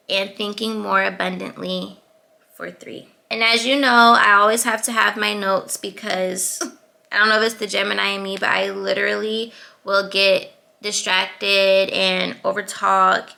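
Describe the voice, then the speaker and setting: Sweet-voiced young woman, gravelly edge. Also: sharp tone. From Atlanta, US. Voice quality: high-pitched sweet voice. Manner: assertive, chatty, laughs between points. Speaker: YouTuber. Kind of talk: casual advice vlog.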